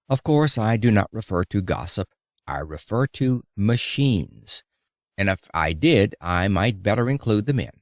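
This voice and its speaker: A man reading an audiobook of an old-fashioned public lecture in a theatrical, emphatic, oratorical manner with dramatic pauses. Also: medium pitch, dry recording, clean studio sound. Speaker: audiobook reader.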